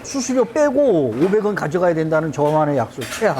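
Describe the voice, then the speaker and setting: Man in his fifties, relaxed taxi-driver interviewee, high and animated, easy chuckles. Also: brisk, slight background noise. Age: 50s. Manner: easygoing, chuckles often.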